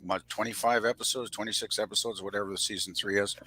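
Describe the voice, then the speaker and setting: A man on a casual livestream panel who talks in a halting, rambling way, often saying "you know". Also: on the low side, fairly even intonation, sharp tone, a little hiss or hum, low-quality recording.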